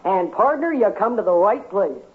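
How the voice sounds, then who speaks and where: A woman's voice: mid-range voice, very animated, normal speed, natural voice. Casting: woman to play a breezy cowgirl trader, drawled frontier slang, vintage radio comedy, narrow tinny sound. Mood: folksy, breezy. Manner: drawling cowboy lingo. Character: folksy, breezy cowgirl Christmas tree seller from Texas. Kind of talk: 1950s radio comedy acting. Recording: tinny, band-limited 1950s radio recording.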